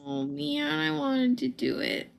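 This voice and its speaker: A woman's voice: high-pitched, level tone, a little slow, creaky. A woman co-hosting a game livestream, chatting casually and playfully as she guides the play. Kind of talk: casual chat while guiding a game.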